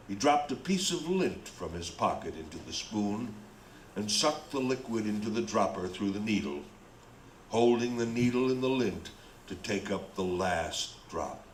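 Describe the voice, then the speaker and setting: A man reading scripted narration for a radio play. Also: on the low side. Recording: roomy, faint background noise.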